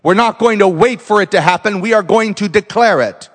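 A man's voice: sits high, a little fast. Fiery preacher man in a reverberant hall. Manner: declaring, rising to loud exclamations. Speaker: missionary preacher. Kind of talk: pentecostal sermon.

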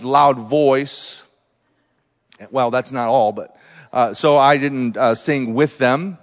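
Fiery preacher man in a reverberant hall. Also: fairly high pitch. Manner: declaring, rising to loud exclamations. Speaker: missionary preacher. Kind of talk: pentecostal sermon.

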